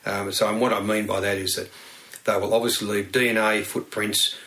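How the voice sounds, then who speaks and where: An Australian man, a former detective, giving halting, rambling expert explanations in an unscripted interview. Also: fairly low pitch, little pitch movement, pushed and bright.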